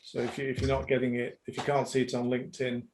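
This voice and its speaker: A middle-aged man hosts a live-streamed business interview, asking questions and relaying viewer comments from the chat. He talks in a friendly but halting way, full of ums and you-knows, at a mid-range pitch. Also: monotone, a little mellow, a little hiss or hum. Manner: halting, friendly, many fillers. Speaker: host of a live business showcase.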